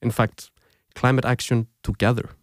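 A young man with an Icelandic accent, a native Icelandic speaker, narrating a scripted podcast as its host in a measured, reflective storytelling way. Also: mid-range voice, level tone, dry recording, clean studio sound. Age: young adult.

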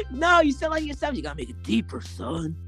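A woman co-hosting silly banter, giggly and teasing. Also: on the high side. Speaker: co-host.

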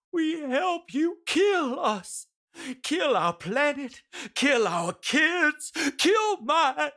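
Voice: medium pitch, vocal fry, pushed and bright, full character voice. An actor playing an anguished, angry working-class accuser in an emphatic, emotionally raw radio drama monologue.

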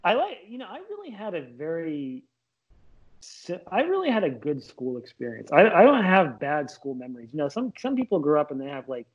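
A man's voice: fairly high pitch, wildly swooping pitch, brisk, husky, dull audio. A man in his late thirties, born in Lebanon, talking as an interview guest in a casual, rambling way, restarting and correcting himself. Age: late 30s. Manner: rambling, restarts mid-sentence, self-correcting.

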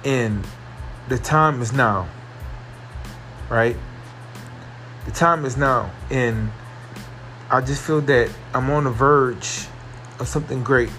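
A man hosting his own podcast, talking earnestly and repetitively about positivity, with a carrying voice that rises into excited emphasis. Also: medium pitch, takes it slowly, pauses often, faint music behind. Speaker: podcast host. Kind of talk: motivational solo podcast monologue.

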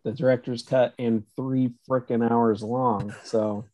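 A man's voice: medium pitch, flat delivery, unhurried, mellow, no echo. A man co-hosting a movie podcast, making offhand, dry remarks. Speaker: podcast co-host. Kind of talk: casual movie discussion.

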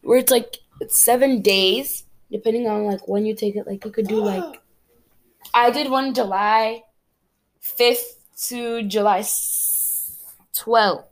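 Chatty teen girl podcaster, higher voice. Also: slow pace, poor audio. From Cameroon. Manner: chatty, rambling, earnest. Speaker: podcast host. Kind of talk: casual solo podcast chat.

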